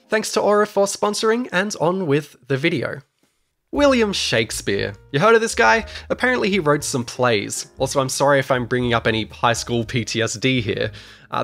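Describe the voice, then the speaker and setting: A man's voice: fairly high pitch, fairly bright tone. Australian man narrating a video essay. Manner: dry, wry humor with casual fillers. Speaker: YouTube host. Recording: faint music underneath.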